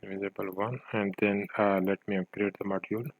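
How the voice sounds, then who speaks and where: A man narrating an informal software tutorial, thinking aloud with a hesitant delivery as he demonstrates. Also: fairly low pitch, flat delivery, brisk, dry recording, low-quality recording.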